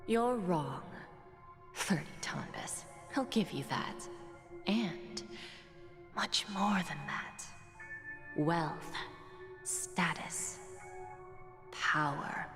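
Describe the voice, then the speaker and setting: A coolly menacing woman executive in a game drama. She speaks slowly and silkily, with breathy condescension, as if every word were a verdict. Her voice rings in a long hall reverb over clear music. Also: lowish voice, expressive. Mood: commanding, condescending. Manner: deliberate, silky, condescending, breathy murmurs.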